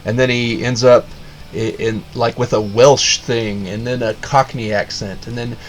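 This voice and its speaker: A man's voice: mid-range voice, fairly even intonation, a little slow. A man giving a casual, sarcastic rant of a review, rambling with lots of restarts. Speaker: video reviewer.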